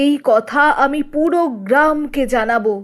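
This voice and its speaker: A woman's voice: high voice, normal speed, pitched above the performer's own voice, lightly acted. A woman voicing a harsh, domineering village father in a children's cartoon, with a blunt, commanding manner. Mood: delighted. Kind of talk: children's cartoon character acting.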